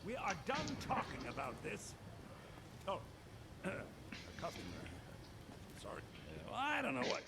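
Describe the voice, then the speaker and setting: An excitable, high-pitched male shopkeeper. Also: measured pace, pauses often, very noisy, exaggerated voice. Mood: exasperated. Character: excitable shopkeeper man, fussy with his sister, grateful. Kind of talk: video game character acting.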